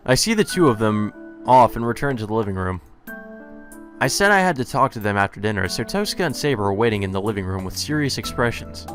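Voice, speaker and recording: A man's voice: on the low side, lively intonation, quick pace, fairly bright tone. A man reading visual novel narration aloud as a let's player, casual and sweary, stumbling over words now and then. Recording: game music behind the voice.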